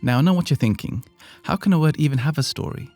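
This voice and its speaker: A man's voice: a little high, expressive, fast, darkish tone, no room sound, faint music behind. A British man hosting an educational podcast, reading a script with playful, self-deprecating asides. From Britain. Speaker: podcast host.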